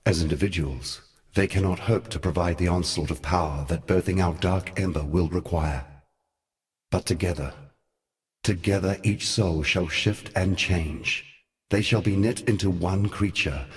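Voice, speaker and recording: A man voicing an ancient, sorrowful god in a fantasy game, speaking in a solemn, eloquent and theatrical way. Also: low voice. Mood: brooding, ominous. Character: ancient male god, grave, sorrowful, brooding mentor. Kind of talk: scripted fantasy game monologue.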